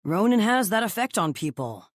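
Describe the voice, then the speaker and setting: A man's voice: sits high, sing-song, normal speed, dry recording, studio quality. An actor voicing an admiring male office friend, earnest and complimentary, in audiobook dialogue.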